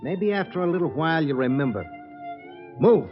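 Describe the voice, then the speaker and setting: A man's voice: fairly high pitch, lively intonation, moderate pace, over music, natural voice. Man acting a curt, menacing radio gangster boss. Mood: tense, commanding. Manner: curt, commanding, threatening. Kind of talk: 1940s-50s radio crime acting. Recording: muffled old radio broadcast, loud backing sound.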